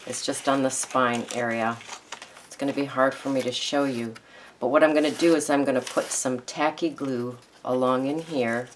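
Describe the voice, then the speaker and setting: A hoarse woman with a froggy voice hosting a casual crafting tutorial, chatting and thinking aloud with ums as she works. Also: extremely low pitch, fairly even intonation, moderate pace, a little hiss or hum. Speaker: craft video host.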